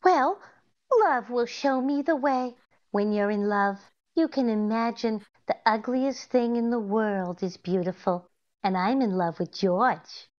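A woman's voice: a little high, a little slow, darkish tone, lightly acted. A woman acting a scatterbrained, sweetly earnest wife in 1940s-style radio comedy. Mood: earnest, hopeful. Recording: slightly dull video-call audio.

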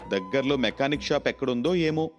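A man's voice: medium pitch, lively intonation, a little fast, soft background music, close to an everyday voice. A man voicing a sulky, spoiled young man who complains indignantly in a moral storybook tale. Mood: irritated complaining. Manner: whiny, indignant. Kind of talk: Telugu moral storybook acting.

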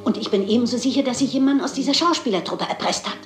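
An actress playing a shrewd, brisk elderly lady detective in a German crime radio play, polite and assured. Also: a little high, roomy sound, soft background music, natural voice. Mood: brisk, determined.

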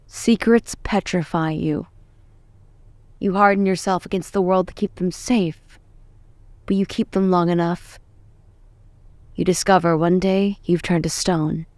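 A middle-aged woman acting a weary, secretive aunt in a comic dub, measured and grave. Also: lowish voice, fairly even intonation, darkish tone, dry recording.

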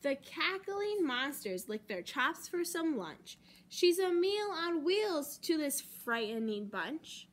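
A young American woman reading a picture book aloud to children as a storytime host, with deliberate, dramatic emphasis on key words. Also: sits high, very animated. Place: library children's room, indoors.

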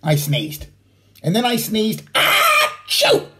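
A man in his sixties tells a children's bedtime story with very lively intonation. His voice is mellow and fairly high for a man, and he takes his time over the words. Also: slow, slight background noise. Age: 60s. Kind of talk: animated bedtime story reading.

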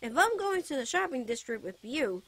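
A woman's voice: high-pitched, very animated, a bit bright, breathy edge, full character voice, low-quality recording. A woman voicing a playful, teasing, fondly protective young bard boy in a giggly ASMR character roleplay. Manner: teasing, giggly, drawn-out phrases. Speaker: voice actor.

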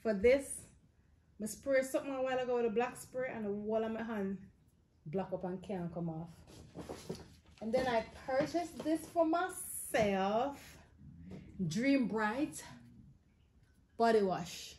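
A woman's voice: fairly high pitch, animated, a little slow, long pauses, darkish tone, slight background noise, poor audio. A woman in her thirties with a Jamaican accent, chatting casually to camera in Patois-inflected phrasing as a lifestyle vlogger.

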